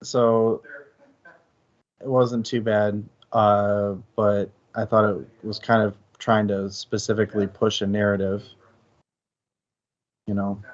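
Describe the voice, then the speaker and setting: Slow talking guy w low flat voice chatting about books, hosting, kinda muffled audio. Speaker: book discussion host. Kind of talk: casual book discussion.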